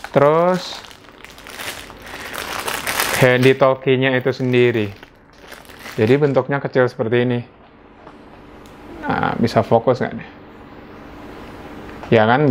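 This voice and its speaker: A man's voice: mid-range voice, lo-fi sound, a little hiss or hum. A man with an Indonesian accent giving a casual, conversational unboxing narration as a product reviewer, explaining things informally. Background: background music.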